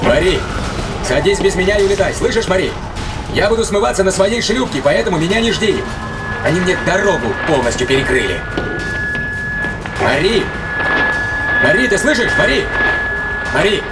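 A man's voice: high-pitched, lively intonation, moderate pace, pauses often, loud music behind the voice. An actor playing a hunted man in a science fiction audio drama, agitated and sardonic, talking to himself in exclamations. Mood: desperate, urgent. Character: hunted man, desperate, self-reproaching, sardonic sci-fi hero.

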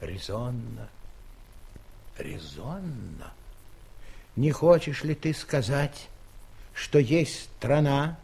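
A man's voice: mid-range voice, animated, extremely slow, creaky, pauses often, darkish tone, husky, heavily acted. An actor playing a pompous, mocking giant king with an ironic drawl in a children's audio play. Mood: sceptical wonder.

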